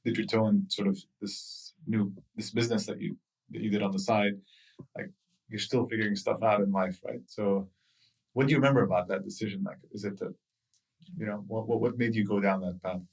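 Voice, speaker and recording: A man's voice: fairly low pitch, steady tone, fairly quick, husky, recorded from a distance, low-quality recording. A male podcast host asking his guest curious follow-up questions in a conversational way. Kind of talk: interview questions.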